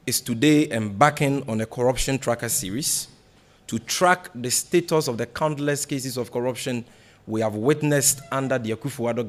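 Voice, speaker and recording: Ghanaian guy doing a scripted press briefing speech, medium pitch, formal and emphatic, spokesman type. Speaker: opposition party spokesman.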